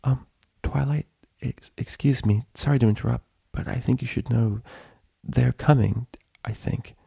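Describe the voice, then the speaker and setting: A man voicing a shy, apologetic young female cartoon pony in a meek, hesitant, soft manner. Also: mid-range voice, fairly even intonation, unhurried, creaky, breathy edge, dry recording, clean studio sound, pitched above the performer's own voice, exaggerated voice. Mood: timid.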